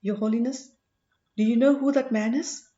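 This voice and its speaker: A woman's voice: a little high, fairly even intonation, normal speed, mellow, echoey room, lo-fi sound, lightly acted. A woman voicing a respectful but wary man in an audiobook, deferential yet insistent in tone. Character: respectful, wary man questioning his holy elder. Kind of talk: audiobook character dialogue.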